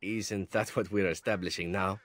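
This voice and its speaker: An actor playing a charming, flippant elven assassin in a video game, with a suave, wry, theatrical delivery. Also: male voice, deep voice, steady tone, moderate pace, fairly bright tone, dry recording. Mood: wry, candid. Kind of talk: video game character dialogue.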